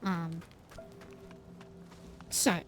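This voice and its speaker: A woman's voice: drawn-out words, soft background music. Rambling woman streamer, many fillers. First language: not English. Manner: self-deprecating, laughs at herself. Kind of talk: casual chat with stream viewers while gaming.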